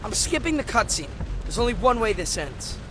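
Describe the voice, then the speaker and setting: A man's voice: high voice, very animated, moderate pace, music in the background. A man voicing a wry, confident and quippy teenage mutant turtle in a cartoon video game.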